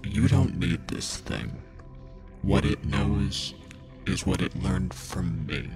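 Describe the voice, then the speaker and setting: A man's voice: low voice, lively intonation, slow pace, vocal fry, over music, heavily acted. A man playing a menacing, possessive spirit voice that speaks inside someone's head in a commanding, threatening manner. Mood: urgent, commanding.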